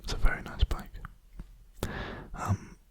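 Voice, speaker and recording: A young man speaking softly in a hushed, breathy, soothing whisper, rambling casually for an ASMR video. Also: takes it slowly. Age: young adult.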